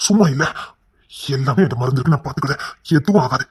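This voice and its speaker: A man plays a controlling husband in a heated melodrama, with a husky voice and rapid delivery. He insists over and over, coaxing in hushed tones and scolding with agitation, an anxious father-to-be who will not be argued with. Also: mid-range voice, lively intonation. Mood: coaxing, warning. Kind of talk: emotional melodrama dialogue.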